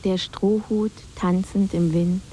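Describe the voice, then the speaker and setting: An actress playing a solemn reader of chapter titles and verse in a radio drama, reciting deliberately. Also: female voice, medium pitch, fairly even intonation, dark tone, breathy edge, poor audio.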